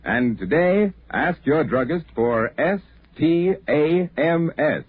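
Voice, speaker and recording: A man delivering an advertising pitch as a 1940s radio commercial announcer. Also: animated, takes it slowly, a little hiss or hum. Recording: tinny, indistinct vintage radio broadcast.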